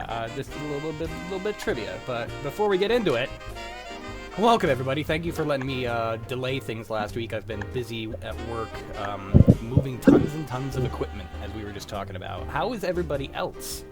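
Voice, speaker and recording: A young man hosting a casual livestream chat in an informal, sweary way. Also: medium pitch. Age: young adult. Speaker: host. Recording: music behind the voice. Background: music.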